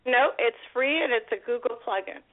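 Hoarse woman calling in by phone. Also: fairly high pitch, a little fast. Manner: upbeat, chatty. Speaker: caller, panelist. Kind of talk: casual chat. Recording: tinny phone line.

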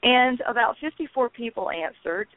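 A woman guest telling her story in a phone-in interview, earnest and halting, with frequent ums. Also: fairly high pitch, animated, no room sound. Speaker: guest, founder of a peace blogging network. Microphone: telephone. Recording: tinny phone line, dry.

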